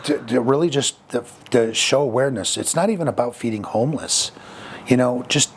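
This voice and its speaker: A man's voice: mid-range voice, brisk, breathy edge. A man talking as a podcast guest, frank and reflective, with frequent fillers and restarts. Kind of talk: candid conversational storytelling.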